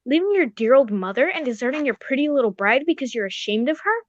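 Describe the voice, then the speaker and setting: Radio drama role: old-fashioned, exclamatory gentleman companion, voiced by a woman, high voice, slightly muffled roomy sound. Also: expressive, quick pace. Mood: indignant. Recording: dull, roomy recording.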